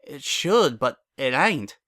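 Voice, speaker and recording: A man's voice: mid-range voice, big pitch swings, slow, pushed and bright, dry recording, clean studio sound, exaggerated voice. A man voicing a stubborn, plainspoken female farm pony with folksy country talk, in a dramatic story reading. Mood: uneasy. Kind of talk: dramatic fan fiction reading.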